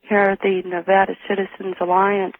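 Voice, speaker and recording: An American man calling a radio show, speaking slowly and haltingly as he reports to the host. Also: high-pitched, monotone. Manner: slow, halting, deliberate. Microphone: telephone. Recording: narrow tinny phone line on radio.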